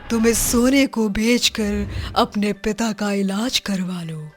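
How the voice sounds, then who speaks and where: A woman voicing a wise, kindly magic cooking pot in a cartoon moral story, calm and explanatory. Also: medium pitch, takes it slowly, faint music behind, close to an everyday voice. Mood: advising.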